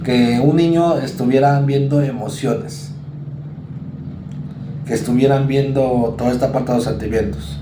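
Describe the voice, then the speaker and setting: A male university lecturer with a Mexican accent, giving a conversational psychology class and acting out students and parents in quick asides. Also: medium pitch, fairly even intonation, roomy sound, noisy background. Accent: Mexican Spanish.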